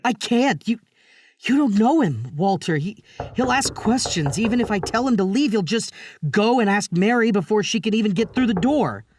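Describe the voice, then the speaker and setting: An actor plays an anxious, guilt-ridden man in a horror audio drama. His voice sits a bit high and moves widely in pitch. He delivers lines dramatically, tending to stammer under pressure. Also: expressive, normal speed, no echo. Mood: anxious, flustered. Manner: dramatic, stammering when flustered. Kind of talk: horror audio drama acting with first-person narration.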